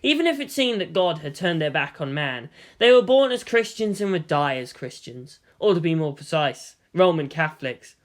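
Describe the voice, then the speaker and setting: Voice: medium pitch, big pitch swings, moderate pace, hardly any pauses. A narrator reading a scripted fan fiction story in audiobook style.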